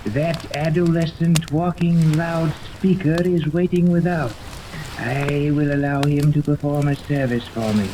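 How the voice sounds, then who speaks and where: A man's voice: on the high side, fairly even intonation, unhurried, close to an everyday voice. A noisy 1930s radio recording with rain ambience carries an actor as a haughty male mad scientist. He rules imperiously, speaking in pompous, wordy pronouncements in old serial style. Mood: commanding. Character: haughty, tyrannical male mad scientist commanding a secret island.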